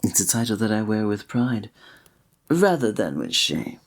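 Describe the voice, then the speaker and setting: An actor playing a flirtatious, courtly male village innkeeper in an ASMR roleplay, speaking in a formal, breathy way. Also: fairly low pitch, very animated, moderate pace.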